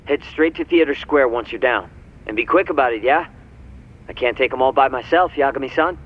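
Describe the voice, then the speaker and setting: Casting: informal, edgy young game informant, mid-pitched, muffled by phone, faint music. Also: male voice, fairly quick. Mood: anxious urgency. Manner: informal, hesitant, chatty. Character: casual, slightly nervous young male informant. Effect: phone call filter. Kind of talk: video game cutscene acting. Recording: dull phone call over faint music.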